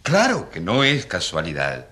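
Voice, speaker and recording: An actor playing an ironic, defiant rural priest, eloquent and emphatic, in a radio drama. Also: male voice, sits low, wildly swooping pitch, a little slow, pushed and bright, full character voice. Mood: ironic, accusing.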